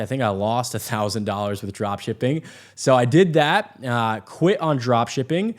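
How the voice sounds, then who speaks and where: A man in his twenties from Vermont with an American accent, telling his personal story unscripted to an audience in an earnest, emphatic way, repeating phrases and checking in as he goes. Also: medium pitch. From Vermont, United States. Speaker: sales entrepreneur introducing himself.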